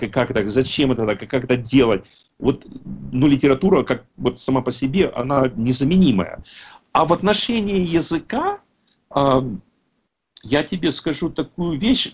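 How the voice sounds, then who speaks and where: A man's voice: mid-range voice, a little mellow, husky. A man in his fifties, raised in a Russian-speaking country, speaking casually and emphatically at an online recovery meeting, often correcting himself mid-sentence. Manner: rambling, self-correcting, emphatic.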